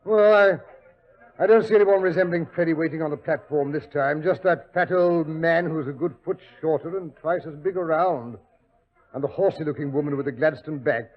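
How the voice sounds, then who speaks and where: An actor playing a bumbling, blustery English doctor sidekick who stammers and exclaims, in 1940s radio acting. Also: male voice, fairly high pitch, normal speed, lightly acted. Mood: puzzled. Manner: stammering, exclamatory, easily flustered. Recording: dull lo-fi 1940s radio recording.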